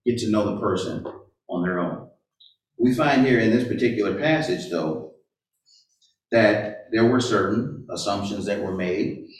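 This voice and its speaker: A man's voice: fairly low pitch, unhurried, pauses often. A man giving an interactive sermon who asks many rhetorical questions and keeps checking in with "right?". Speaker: pastor or Bible teacher. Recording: roomy echo, far from the mic.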